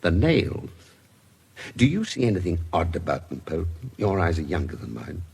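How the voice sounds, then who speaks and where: An older British detective doctor for a radio drama. The voice is very husky and swings freely in pitch. He is coolly curious, weighing each detail aloud with precise, measured care. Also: very animated, a little mellow, full character voice. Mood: quiet, intrigued. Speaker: forensic investigator.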